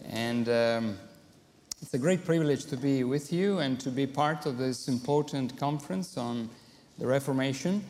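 A man with an Italian accent delivers a conference talk in English, which is not his first language. He speaks in a middle register, halting often on fillers as he gathers his words. His voice rings out with a long hall reverb. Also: mid-range voice, darkish tone, a little hiss or hum. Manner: frequent hesitations and fillers. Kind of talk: conference lecture.

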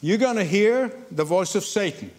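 A man from Egypt, preaching a sermon to a congregation in lively storytelling, often repeating words as he starts a sentence. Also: sits high, moderate pace. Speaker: pastor. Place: church before a congregation.

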